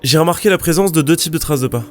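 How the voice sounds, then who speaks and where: A man's voice: a little high, quick pace, faint music behind, lightly acted. A man playing a nervous, eager young police lieutenant in an audio drama, hesitant and deferential. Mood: nervous, reporting.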